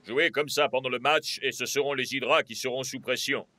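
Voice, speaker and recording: A man's voice: mid-range voice, fairly even intonation, a little fast, bright, forceful tone, soft background music, close to an everyday voice. A man playing an earnest, protective football coach in a French cartoon dub, speaking in a serious, instructive way. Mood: approving.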